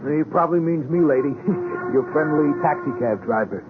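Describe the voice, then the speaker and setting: An actor playing a brash, chatty working-class American cab driver, colloquial and excitable, in a radio drama. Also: male voice, a little high, moderate pace, music in the background, lightly acted. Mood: cheeky. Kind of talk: 1970s radio drama acting. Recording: tinny old radio broadcast, faint noise.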